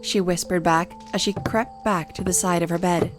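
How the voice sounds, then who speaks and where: A woman's voice: fairly low pitch, steady tone, moderate pace. A woman narrating a scripted dramatic story in an audio series. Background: music.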